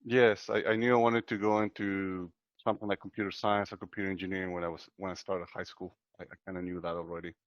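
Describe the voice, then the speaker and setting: Soft-spoken man with a low, dull sound. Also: little pitch movement, fast talker, few pauses, no room sound. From Chile. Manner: soft-spoken, hesitant, many fillers. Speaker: guest computer scientist. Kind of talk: casual interview talk.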